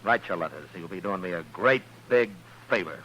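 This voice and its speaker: An actor playing a stern, weary American frontier marshal in a radio western, speaking with dry, sardonic authority. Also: male voice, low voice, animated, brisk, pushed and bright. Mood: bitter, sardonic. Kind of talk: 1950s radio western acting. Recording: noisy, humming old radio recording.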